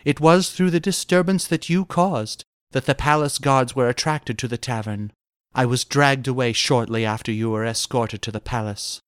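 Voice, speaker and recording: A man voicing a young noblewoman in a fantasy story, with soft, formal, archaic speech. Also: mid-range voice, normal speed, clean tone, dry recording, clean studio sound, close to an everyday voice. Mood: composed, confiding.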